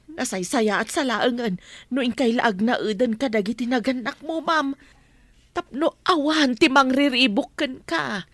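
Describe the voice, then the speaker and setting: A loyal household nanny in a drama serial. Her voice is high and dryly recorded. She pleads and scolds in an earnest, motherly way. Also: measured pace, a bit bright, no echo. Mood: concerned, advising. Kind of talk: Ilocano radio-style drama acting.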